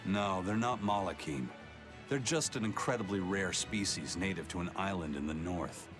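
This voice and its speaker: A steady, serious pirate played by a man with a lowish, gruff voice. He speaks in a measured, proud way, as in anime game dialogue, with music clearly behind. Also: close to an everyday voice. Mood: wry storytelling. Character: stern, steady, proud male pirate first mate. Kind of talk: anime-style video game acting.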